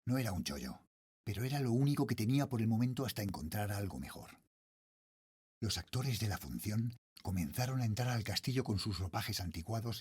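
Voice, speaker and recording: A man's voice: on the low side, darkish tone, breathy edge, no echo, clean studio sound, heavily acted. A middle-aged man with a Spanish accent from Spain playing an uneasy night watchman and father, narrating a horror tale in a suspenseful, brooding way. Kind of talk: dramatic first-person horror narration.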